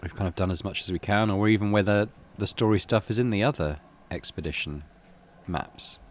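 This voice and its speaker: A man's voice: lowish voice, a little fast. A middle-aged man hosting a game playthrough, commenting casually and reading the story text aloud. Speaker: gaming video host.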